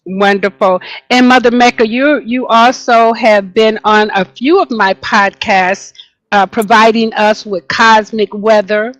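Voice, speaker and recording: A woman with a fairly high voice hosts a video call panel in a chatty, warm way. She introduces her guests and asks them questions. The sound is close and dry. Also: steady tone, unhurried, no echo, low-quality recording. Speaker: host.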